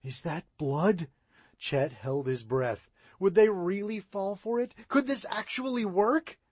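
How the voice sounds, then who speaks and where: Lively-voiced man reading a children's book. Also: high-pitched, unhurried, no room sound. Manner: expressive read-aloud, rising and falling. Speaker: audiobook reader. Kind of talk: children's audiobook narration.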